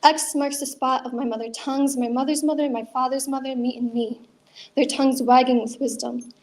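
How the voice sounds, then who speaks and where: A young woman reads her own poetry aloud at an open mic night. Her voice sits a little high, and her delivery is earnest and measured. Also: steady tone, a little mellow. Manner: earnest, measured reading with chatty asides. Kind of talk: spoken word poetry reading.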